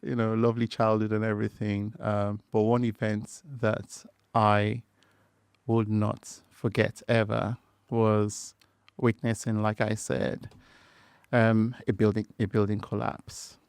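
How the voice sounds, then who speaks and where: Low-voiced Nigerian man, thoughtful. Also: completely flat delivery, takes it slowly, a little mellow, smooth, dry recording. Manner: thoughtful, hesitant, many fillers and restarts. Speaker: podcast guest, structural engineer. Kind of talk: conversational interview.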